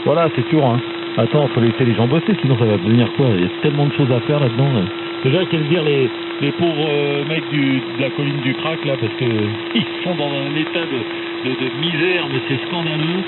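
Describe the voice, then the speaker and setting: A man's voice: fairly high pitch, a little fast. A man chatting casually as an amateur radio operator, rambling and opinionated in slangy speech over a radio transmission. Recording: very noisy, phone-like ham radio signal, humming. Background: loud radio static and hum.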